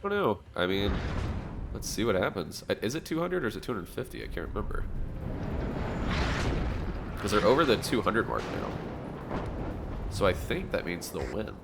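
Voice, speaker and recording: A man commentating a strategy game match, sounding nasal from allergies, reacting excitedly to the action. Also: lowish voice, creaky, background noise. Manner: excitable, fast reactions and laughs. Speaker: gameplay commentator.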